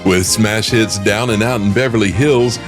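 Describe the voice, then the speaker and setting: A man's voice: on the low side, moderate pace, over music. A man reading scripted narration as a narrator.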